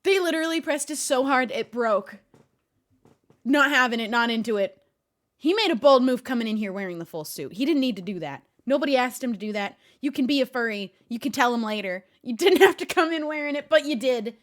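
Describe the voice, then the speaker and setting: A woman streamer chats casually while reacting to a video, laughing easily and often. Her voice sits high and swoops up and down. The talk is loose, off-the-cuff commentary. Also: brisk. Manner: giggly, animated, talks to a pet.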